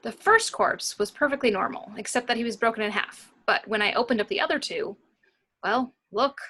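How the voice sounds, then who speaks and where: A woman's voice: fairly high pitch, expressive, brisk, pushed and bright, a little hiss or hum. A woman playing a confident, flirtatious morgue doctor in an informal script read, wry and matter-of-fact. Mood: clinical.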